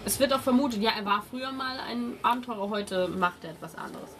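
A woman's voice: on the high side, brisk, a bit bright, recorded from a distance, a little hiss or hum. A woman running a tabletop game as game master, improvising her narration in a conversational way. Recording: game table with music playing behind.

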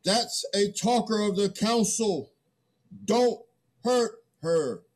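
Conscientious peacemaker, played by a middle-aged man, slow and hesitant, very animated pitch, stage reading. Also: exaggerated voice. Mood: alarmed. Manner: hesitant, earnest. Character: conscientious man pleading for the old ways. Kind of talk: dramatic play reading. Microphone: computer mic on video call.

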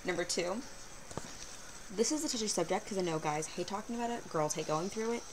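Teen girl vlogger, lowish voice, animated and sarcastic, bedroom webcam with audible hiss. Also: brisk. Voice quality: fairly low voice for a woman. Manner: chatty, animated, sarcastic asides. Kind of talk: casual webcam vlog. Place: small bedroom, indoors. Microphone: built-in webcam mic. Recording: noisy low-quality webcam recording.

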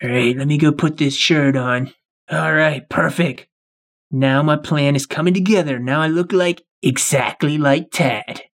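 A man's voice: on the high side, measured pace. A man acting a scheming but very polite, apologetic male robber in a game roleplay.

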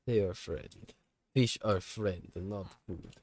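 A man's voice: low voice, slow pace, darkish tone, a little hiss or hum. A man chatting casually while playing a video game, talking in a jokey mock-comrade manner. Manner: jokey mock-communist talk, addressing others as comrade. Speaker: gaming streamer.

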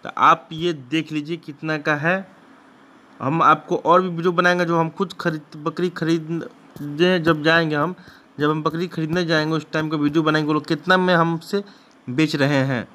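A man's voice: fairly high pitch, steady tone, measured pace, bright, forceful tone. A man, hosting his own channel and casually narrating footage from his goat shed in a rambling, repetitive way with frequent restarts. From Bihar, India. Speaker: goat farmer and channel host.

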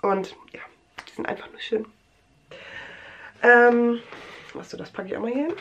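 A woman's voice: on the high side, moderate pace, muffled recording. Chatty young woman with a cold. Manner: chatty, rambling, many fillers and restarts. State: has a cold. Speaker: vlogger. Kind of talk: casual show-and-tell vlog.

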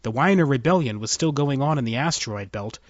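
A man's voice: medium pitch, animated, a little fast, dry recording, muffled recording. A man reading scripted narration as a storyteller.

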